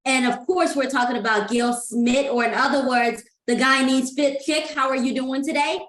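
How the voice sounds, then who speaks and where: A woman's voice: sits high, steady tone, normal speed, a bit bright. A woman hosting an interview, chatty and enthusiastic as she asks her questions. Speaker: host. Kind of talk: casual interview. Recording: roomy echo.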